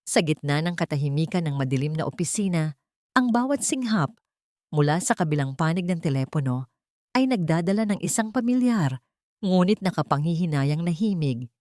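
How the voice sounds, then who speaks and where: A Filipino woman tells a dramatic story in a lowish voice. Her phrasing is measured and solemn, like scripted narration. The recording is close and dry, with no room sound. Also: animated, darkish tone, no room sound.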